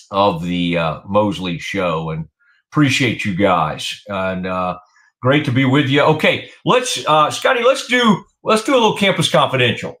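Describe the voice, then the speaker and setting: A man's voice: medium pitch, a bit bright. A middle-aged man with a Texan accent hosting a sports talk show, rambling casually with lots of fillers. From Texas. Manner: rambling, many fillers and restarts. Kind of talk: casual radio sports chat.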